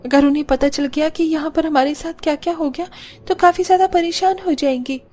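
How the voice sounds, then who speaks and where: A woman's voice: sits high, fairly even intonation, fairly quick, mellow, pitched above the performer's own voice, close to an everyday voice. A woman voicing a meek, suffering young pregnant daughter-in-law in a cartoon, pleading and plaintive. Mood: worried, plaintive.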